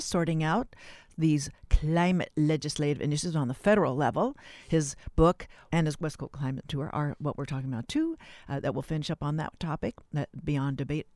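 A radio host interviewing a guest live and unscripted, with halting, circling phrasing. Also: mid-range voice, darkish tone, no room sound.

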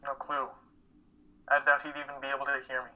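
Man acting a withdrawn student, sharply bright voice. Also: medium pitch, monotone. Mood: guarded, subdued. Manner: halting, terse, guarded. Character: withdrawn male college student, grieving his estranged father. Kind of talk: dramatic reading of a stage play.

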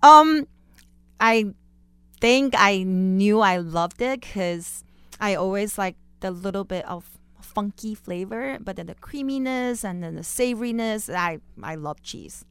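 Female interview guest, Chinese accent, native Chinese speaker. Crisp voice, dry sound, animated conversational storytelling. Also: mid-range voice, a little slow, no room sound. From Beijing, China. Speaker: guest, chef.

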